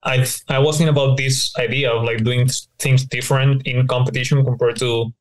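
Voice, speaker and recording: A man's voice: medium pitch, flat delivery, a little fast, rough recording. A man co-hosting a podcast, speaking casually and rambling with many fillers as he works through an idea. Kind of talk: casual discussion.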